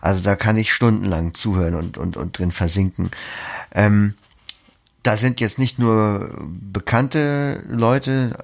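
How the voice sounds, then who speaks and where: A man's voice: lowish voice, slow, vocal fry. A man giving articulate, reflective, explanatory answers as an interview guest.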